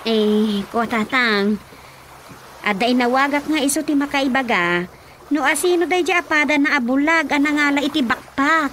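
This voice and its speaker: A bossy young daughter in a radio drama, high-voiced and bright. She is insistent and accusing, over faint background noise. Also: normal speed. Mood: explaining. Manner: insistent, demanding. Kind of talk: radio drama comedy acting.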